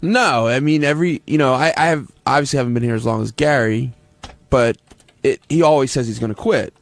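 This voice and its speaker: A man on a radio panel, talking casually and haltingly, restarting his phrases as he gives his view. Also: medium pitch. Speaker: radio show staff panelist. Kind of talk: casual unscripted discussion. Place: radio studio. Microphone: close studio microphone.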